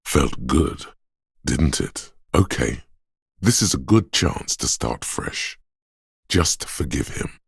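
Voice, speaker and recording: Very deep, husky, empathetic inner voice. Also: male voice, sing-song, dry recording, studio quality, full character voice. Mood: gentle, encouraging. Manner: measured, intimate, weighty delivery. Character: empathetic inner voice of a troubled detective, gentle, knowing, sombre. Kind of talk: dramatic video game narration.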